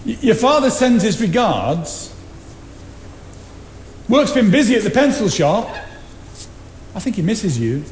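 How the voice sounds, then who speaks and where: Bumbling loyal friend: high, very animated voice, folksy stammer, stage drama. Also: male voice, a little slow, long pauses. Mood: earnest. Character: kindly, bumbling, loyal male friend of a recluse. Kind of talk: stage play acting. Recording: echoey theatre stage with background noise.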